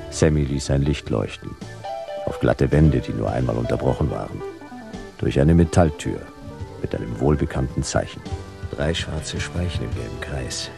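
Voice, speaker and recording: A man playing a world-weary private detective in a noir sci-fi radio play, terse, dry and deadpan. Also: extremely low pitch, gravelly, full character voice. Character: world-weary, laconic hard-boiled male private detective.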